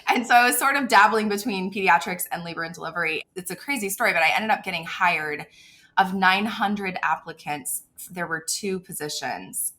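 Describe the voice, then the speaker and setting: Bright, chatty woman from Ecuador. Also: lowish voice. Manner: chatty, animated, many "like" fillers. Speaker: guest, labor and delivery nurse. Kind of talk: casual interview talk.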